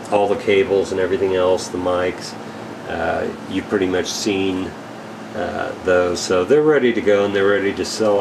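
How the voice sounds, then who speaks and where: Male, deep voice, unhurried halting delivery, casual dealer product update, matter-of-fact, clear steady background noise. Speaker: radio equipment dealer presenting products.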